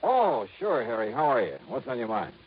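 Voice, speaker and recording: Casting: wisecracking American radio sleuth, skeptical and lively in pitch, muffled vintage broadcast sound. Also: male voice, moderate pace. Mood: wry, breezy. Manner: wisecracking, punchy delivery. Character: wry, skeptical male freelance insurance investigator. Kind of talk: 1950s radio detective drama acting. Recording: dull, noisy vintage radio recording.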